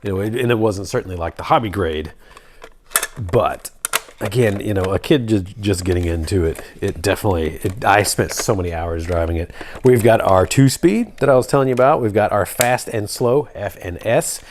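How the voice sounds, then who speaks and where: A man's voice: on the low side, normal speed, a little mellow. A man presenting a hobby video in a casual, nostalgic show-and-tell style, rambling and thinking aloud as he goes. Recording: clear background noise with clicks.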